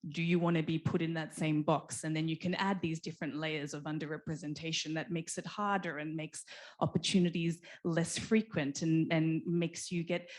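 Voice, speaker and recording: A woman speaks on a panel in a slightly low voice. She talks thoughtfully, pausing on fillers and restarting her sentences. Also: level tone. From Australia. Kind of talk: panel discussion.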